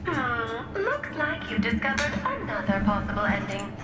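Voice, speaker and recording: High, gentle mystical guide. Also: female voice, big pitch swings, normal speed, heavy background noise. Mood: reassuring. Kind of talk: video game voice acting. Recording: distant, echoing, under loud game music.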